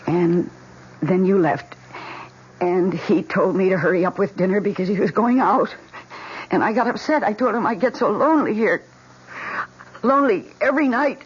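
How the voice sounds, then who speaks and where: An actress playing an anxious, secretive young wife in a 1970s radio drama, speaking in a hesitant, emotional, intimate way. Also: female voice, medium pitch, moderate pace, long pauses, husky. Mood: haunted, intense. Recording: dull, hissy vintage radio recording.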